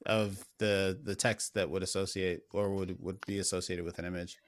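A man's voice: low voice, little pitch movement, moderate pace, no room sound, studio quality. A man hosting a tech podcast, asking questions in a friendly, curious way with some fillers. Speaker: podcast host. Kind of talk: interview hosting.

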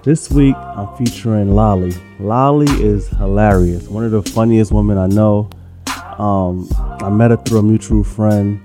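Podcast host: nasal New York man with a lowish voice, casual and slangy, with a music bed behind him. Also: measured pace. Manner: laid-back, slangy, loose. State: nasal from a sinus infection.

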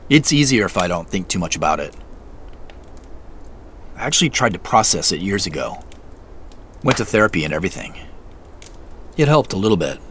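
Over noticeable outdoor ambience comes a wry, wistful man in an audio drama. His voice sits fairly low and moves in lively swings. He talks naturally and easily, keeping deeper feelings guarded. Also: a little fast, pauses often, a little hiss or hum, heavily acted. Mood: guarded, sorrowful. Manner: easygoing, self-joking, guarded about feelings. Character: wistful, wry man on a road quest, grieving parents.

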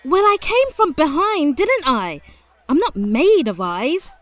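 A woman's voice: high-pitched, very animated, slow pace, exaggerated voice. An actress playing a brash, impulsive young woman investigator in an audio drama. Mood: flippant, cocky. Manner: flippant, brash.

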